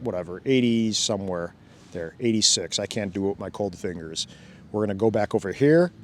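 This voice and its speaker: A man's voice: lowish voice, lively intonation, fairly quick, slight background noise. Chatty man demoing gear outdoors. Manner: chatty, thinks aloud, laughs often. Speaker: drone channel host. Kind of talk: casual hands-on demo narration. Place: outdoors by a fountain, cold weather.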